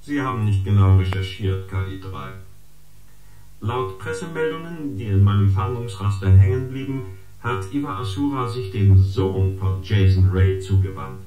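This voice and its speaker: An actor playing a knowing investigator who reports a scandal in a science fiction radio play. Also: male voice, on the low side, lively intonation, moderate pace, close to an everyday voice. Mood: knowing, intrigued. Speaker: actor in an audio drama. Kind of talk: dramatised expository storytelling in a radio play. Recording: echoey, guitar music behind. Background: plucked guitar music.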